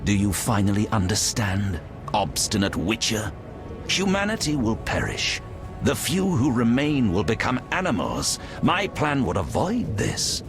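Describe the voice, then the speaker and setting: A grandiose fantasy game villain, speaking low with big swings in pitch. He declaims like a solemn prophet convinced of his grand plan. Music plays prominently behind him. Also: very animated, measured pace. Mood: grandiose self-justifying. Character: zealous prophetic male order leader, grandiose fantasy villain. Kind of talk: dramatic fantasy video game acting.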